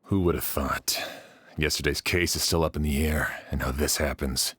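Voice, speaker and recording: Brief: an earnest, courteous male officer, very low voice. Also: steady tone, normal speed, a bit bright, breathy edge, no echo, exaggerated voice. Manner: earnest and polite. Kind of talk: video game voice acting.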